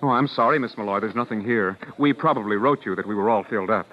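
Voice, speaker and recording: An actor playing a busy, polite and officious hotel desk clerk in a 1940s radio drama. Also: male voice, on the low side, quick pace, a little hiss or hum, natural voice. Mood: brisk, polite. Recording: muffled old radio recording.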